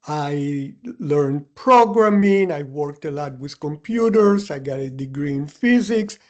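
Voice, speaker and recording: A man, speaking in a measured, reflective way as a podcast co-host. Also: on the high side, a little mellow, highs cut off. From Cuba. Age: 70s or older. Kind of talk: conversational podcast discussion.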